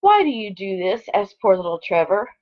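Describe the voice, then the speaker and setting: Female primary teacher for online story time, mid-range voice, chatty and expressive with young children, dull home-recorded sound. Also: mid-range voice, normal speed. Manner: chatty asides between expressively read story lines. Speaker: second grade teacher. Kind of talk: read-aloud to children online.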